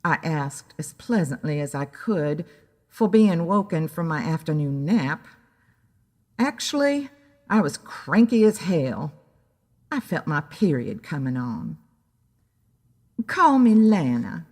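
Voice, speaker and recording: A woman's voice: low voice, very animated, measured pace, a little mellow. A woman narrating a scripted story in a dramatic storytelling style. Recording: long hall-like reverb.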